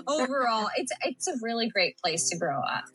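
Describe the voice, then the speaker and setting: A woman's voice: a little high, very animated, measured pace, sharp tone, faint music behind, low-quality recording. A young woman from San Antonio, Texas, chatting as a podcast guest. She is bubbly and laughs often. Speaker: interview guest. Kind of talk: casual podcast interview.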